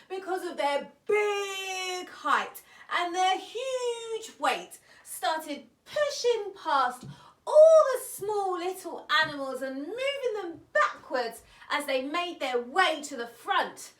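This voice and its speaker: A woman hosting a children's story show, telling tales in an expressive way with drawn-out emphasis. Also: very high-pitched. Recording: roomy, distant mic, faint noise.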